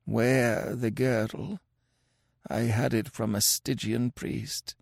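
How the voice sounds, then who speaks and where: A man voicing a dying, mangled male sorcerer who raves for vengeance in a racking, inhuman croak, gasping and drooling. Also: mid-range voice, little pitch movement, slow, no echo, clean studio sound, voice pushed up. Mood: fading. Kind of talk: audiobook character voice.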